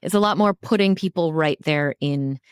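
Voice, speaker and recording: A woman's voice: deep voice, moderate pace, smooth, dry recording, clean studio sound. A woman hosting a casual interview, encouraging and attentive toward her guest. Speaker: podcast host. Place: remote recording over internet software.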